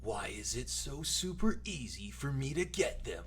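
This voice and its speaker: A man voicing a melodramatic, indignant online arguer in a theatrical, breathy dramatic reading. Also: medium pitch, big pitch swings, exaggerated voice. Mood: accusing. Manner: slow, theatrical, breathy whispering. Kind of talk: dramatic reading of social media posts. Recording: noisy recording with clear hum.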